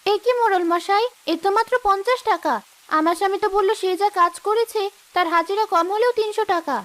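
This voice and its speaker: A woman's voice: very high-pitched, fairly quick, fairly bright tone. A woman voicing a poor, honest and determined village wife in a cartoon story, earnest and plaintive. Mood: pleading. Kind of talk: Bengali cartoon story dialogue.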